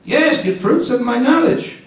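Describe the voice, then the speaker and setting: A man's voice: extremely high pitch, lively intonation, a little slow, highs cut off. A man voicing a chatty, ingratiating male know-it-all in a live puppet show, coaxing the audience to join in. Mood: patient. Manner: eager, coaxing, leads the audience in call-and-response. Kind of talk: live shadow puppet theatre. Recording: echoey hall, distant mic.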